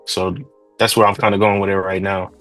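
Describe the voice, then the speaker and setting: A young man from Trinidad, now a 3D artist, talking about his path in a relaxed, rambling interview manner. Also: deep voice, fairly quick, dry recording, soft background music. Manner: laid-back, rambling, slangy. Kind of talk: casual interview chat.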